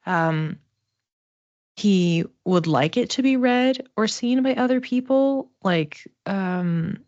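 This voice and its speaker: A woman giving an improvised psychic reading as a medium, speaking tentatively and haltingly as she searches for words. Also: mid-range voice, animated, a little mellow. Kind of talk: intuitive reading, spoken off the cuff to a live chat. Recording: slightly dull, roomy sound.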